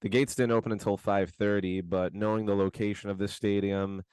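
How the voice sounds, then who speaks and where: A man co-hosting a podcast, his voice hoarse from screaming, chatting in a laid-back, slangy, rambling way from his bedroom at home. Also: on the low side, level tone, fairly quick, a little mellow, no echo. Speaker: podcast co-host. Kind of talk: casual podcast chat.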